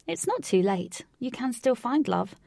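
A woman's voice: fairly high pitch, big pitch swings, measured pace, gravelly, mellow, dry recording, studio quality, voice pushed up, full character voice. A woman voicing an awkward, earnest, self-doubting young girl in a storybook reading.

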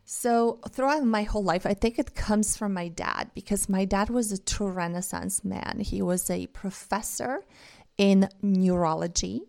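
A woman's voice: mid-range voice, a little slow, gravelly, darkish tone. Earnest woman. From Bulgaria. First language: Bulgarian. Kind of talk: reflective monologue.

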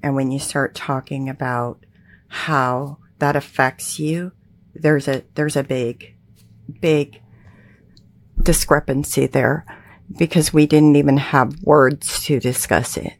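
A young adult woman whose first language is Pennsylvania Dutch, giving candid personal testimony as an interview guest in a measured, quietly emphatic way. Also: very deep voice, steady tone, dry recording.

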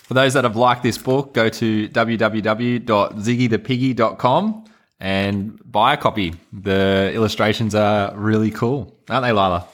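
A man's voice: lowish voice, steady tone, normal speed, fairly bright tone. An Australian man who hosts a podcast, talking casually and reading a children's storybook in a playful, encouraging way. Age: adult. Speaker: podcast host.

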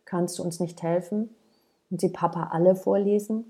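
A woman voicing a soft-spoken middle-aged mother who talks in a conciliatory way in a storybook reading. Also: lowish voice, steady tone, normal speed, lightly acted.

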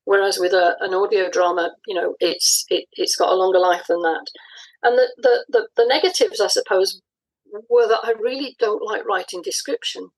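Woman being interviewed, bit husky, medium pitch, thoughtful, explains stuff with little pauses. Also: fairly even intonation, poor audio. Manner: thoughtful, explanatory, pausing mid-phrase. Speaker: interview guest, audio drama writer. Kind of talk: conversational interview answers.

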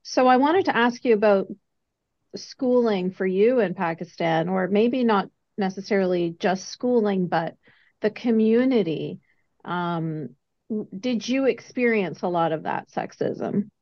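A woman's voice: medium pitch, measured pace. A woman hosting a podcast interview, chatty and curious, asking long winding questions. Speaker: podcast host. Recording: dull lo-fi audio.

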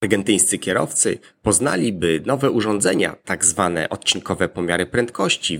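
Guy doing a scripted explainer, kinda gravelly, formal but pitch moves around a lot. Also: fairly bright tone, slight background noise. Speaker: presenter. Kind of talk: scripted explanatory monologue, list of arguments.

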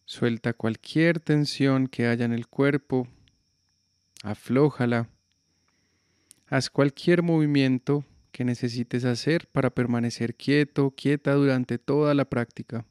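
A man guiding a yoga nidra relaxation with slow, calm, soothing instructions. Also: medium pitch, clear, resonant tone, no echo, studio quality. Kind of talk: guided relaxation meditation.

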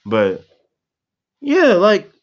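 A man's voice: high voice, wildly swooping pitch, very slow. Congested guy around 20 just riffing solo on his podcast, cussing a lot, very up-and-down delivery. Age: about 20. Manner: rambling, slangy, profane, restarts often. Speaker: podcast host. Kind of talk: casual solo podcast monologue.

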